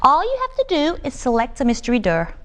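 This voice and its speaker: Flirty, animated game show hostess. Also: female voice, high voice, fairly quick, full character voice. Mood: teasing, flirty. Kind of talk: playful 1990s video game acting.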